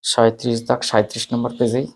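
A male teacher with a medium-pitched voice. He addresses students informally as he walks them through a paper. His delivery is repetitive, calling out one item after another. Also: monotone, fast talker, vocal fry.

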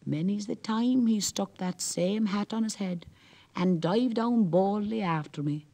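A man's voice: high voice, measured pace, vocal fry, dark tone, pitched above the performer's own voice. A man voicing a sly, jovial old male merrow who talks in a knowing, wheedling way.

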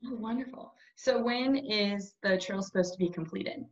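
A woman's voice: medium pitch, normal speed. A woman, hosting a video-call interview in a cheerful, encouraging way from a small plain room. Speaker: host. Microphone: webcam on a video call. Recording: dull lo-fi webcam sound.

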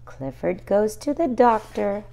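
A woman's voice: medium pitch, very animated, a little slow, creaky, mellow, a little hiss or hum. A woman reading a picture book aloud for young children, expressively and speaking directly to the little listeners. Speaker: children's storybook reader and host.

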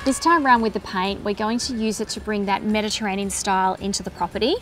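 A woman's voice: mid-range voice, fairly even intonation, quick pace, a bit bright, a little hiss or hum. A woman, a renovation designer, explaining design choices in an upbeat way. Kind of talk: casual chat and piece to camera.

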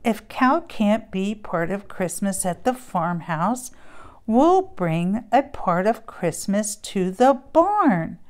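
A kind little farm pig speaks earnestly and slowly, thinking out loud, as a woman reading a storybook puts him on. His voice is soft and low-key. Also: expressive. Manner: earnest, thoughtful.

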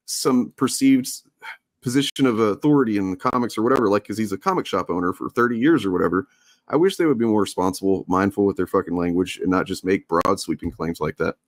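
Host, male, rapid-fire blunt delivery, dry close sound, swears often. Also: lowish voice, no echo. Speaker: livestream host. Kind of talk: casual livestream commentary.

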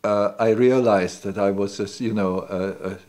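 Deep-voiced man, hesitant and reflective. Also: steady tone, moderate pace, darkish tone. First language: not English. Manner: hesitant, many restarts and "you know". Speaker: interviewee. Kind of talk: reflective recollection. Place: living room indoors. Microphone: off-camera, seated interview.

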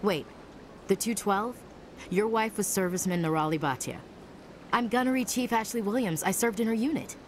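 A woman's voice: medium pitch, normal speed, lightly acted. Female space marine, played by an actress: straightforward, compassionate, sci-fi game, faint ambient noise. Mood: surprised. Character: female marine gunnery chief, direct yet sympathetic. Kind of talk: video game voice acting.

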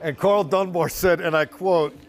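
A man's voice: high-pitched, steady tone, normal speed. A man in his sixties, a team reporter, talking through his analysis in a casual, conversational way outdoors at a practice field. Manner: conversational, analytical, occasional dry humor. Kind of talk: casual sideline chat.

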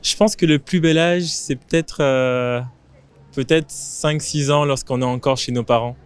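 A man's voice: medium pitch, fairly even intonation, measured pace, clean tone. A man of twenty-nine, giving casual answers in an outdoor street interview. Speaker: interviewed passer-by. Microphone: handheld microphone held by interviewer.

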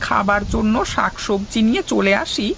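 A man's voice: sits high, steady tone, normal speed, gravelly, fairly bright tone, slight background noise, full character voice, poor audio. A man playing a humble, earnest villager with a hesitant, deferential manner in a cartoon.

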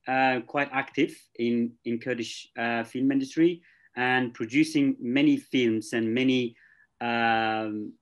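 A man moderating a film festival Q&A over a video call, asking questions with frequent restarts and fillers. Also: mid-range voice. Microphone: video call laptop mic.

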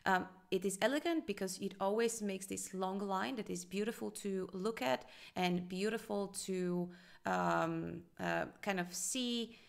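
A woman in her thirties talks through restaurant design in a relaxed, hesitant way, stopping often on "uh" and "um". Her mid-range voice has a gravelly fry to it. She sounds like she is speaking into a desk webcam. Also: mid-range voice, a little slow. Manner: hesitant, many fillers, thoughtful. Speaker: restaurant consultant reviewing a design. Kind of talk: casual reaction commentary.

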